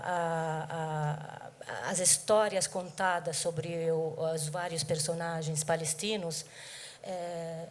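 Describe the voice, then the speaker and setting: Hesitant woman on a panel, low-pitched. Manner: hesitant, many fillers and restarts. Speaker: translator and panel guest. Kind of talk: panel discussion answer. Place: book fair stage.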